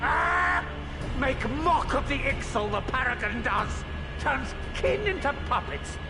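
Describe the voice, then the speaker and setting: An actor voicing a proud, vengeful birdlike beastman for a video game, gravelly and theatrical, speaking in inverted word order. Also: very high-pitched, lively intonation, slow pace, heavily acted. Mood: outraged. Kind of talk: video game dramatic voice acting. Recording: loud music behind the voice.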